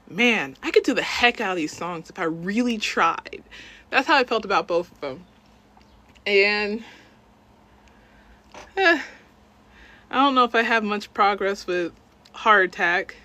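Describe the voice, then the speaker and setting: A woman's voice: medium pitch, bright, forceful tone. A young woman, a self-taught cover singer and dancer, chatting casually on a livestream in a rambling, self-deprecating way.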